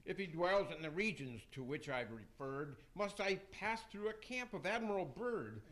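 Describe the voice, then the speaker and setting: A man's voice: high-pitched, very animated, normal speed, fairly bright tone, poor audio. A man playing a fussy, fretful fiend in a theatrical radio play, stammering his rhymed lines. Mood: fretful, flustered.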